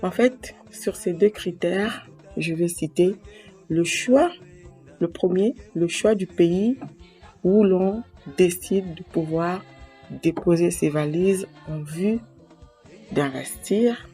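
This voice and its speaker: A woman from Congo-Brazzaville, talking to camera in a slow, earnest way to explain her advice and quoting proverbs. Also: fairly low pitch, dark tone, faint music behind. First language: a Congolese language. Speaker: association president giving advice.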